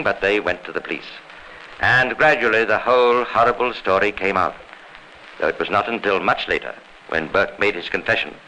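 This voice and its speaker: A man narrating as a grave, authoritative storyteller in 1950s radio crime drama, formal, dramatic and deliberate. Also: on the low side, bright, forceful tone, low-quality recording. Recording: old radio recording with faint noise.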